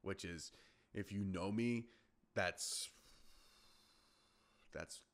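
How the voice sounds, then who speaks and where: A young man with a very deep, low-pitched voice talks through a solo podcast episode. He rambles casually, stopping and restarting his sentences and filling gaps with ums and uhs. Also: extremely slow. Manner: rambling, many fillers and restarts. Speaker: podcast host.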